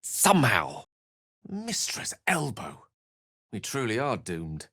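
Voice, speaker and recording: An actor playing a bookish, witty and earnest human wizard in a video game, with erudite, theatrical phrasing and a touch of melancholy. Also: male voice, mid-range voice, huge pitch swings, takes it slowly, a bit bright, no room sound, studio quality, exaggerated voice. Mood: wry, tense. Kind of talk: video game character voice lines.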